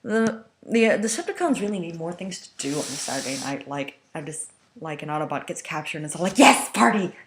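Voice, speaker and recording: A woman with a low-pitched voice presents an amateur dramatic reading of fan fiction. She talks casually and a little self-consciously, slipping wry remarks in as she goes. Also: animated, fast, slight background noise.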